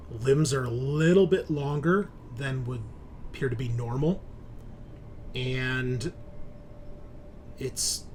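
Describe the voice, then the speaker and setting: A man hosting as game master, improvising narration and chat in a deliberate, suspenseful storyteller's way. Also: medium pitch, a little mellow, slight background noise.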